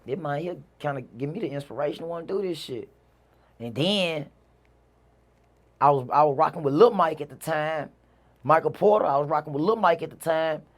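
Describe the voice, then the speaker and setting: A man's voice: fairly high pitch, normal speed. A man with a Mississippi Southern accent telling stories casually as an interview guest, in a slangy, repetitive way peppered with "you know". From Mississippi, USA. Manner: slangy, repeats himself, frequent "you know". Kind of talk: casual conversational storytelling.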